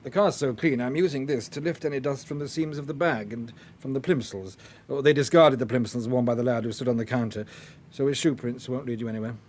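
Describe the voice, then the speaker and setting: An actor playing a matter-of-fact British police car examiner in a radio drama, informal and dryly amused. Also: male voice, medium pitch, brisk, a little mellow, close to an everyday voice. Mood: dry, factual. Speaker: police forensic officer. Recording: clear background ambient noise.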